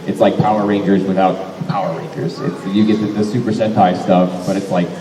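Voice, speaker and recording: A man's voice: low voice, flat delivery, brisk. A young man and voice actor answering fan questions at a convention panel, talking in a rambling way and correcting himself often. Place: large convention hall. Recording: echoey hall, mic from far off, faint noise.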